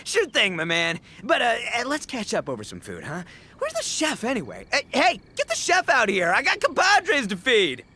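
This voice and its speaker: An actor playing a boisterous, good-natured young gang boss in a video game, talking in excitable bro slang with stammering bluster. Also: male voice, very high-pitched, normal speed, heavily acted. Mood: animated. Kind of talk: animated video game voice acting.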